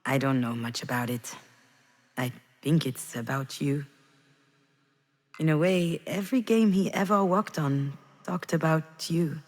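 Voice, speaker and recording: A woman voicing a grieving, guilt-ridden mother in a video game, speaking in a halting, intimate, confessional monologue to her child. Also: low voice, in a large hall. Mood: gentle, reflective. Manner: halting, confessional, addressing someone directly.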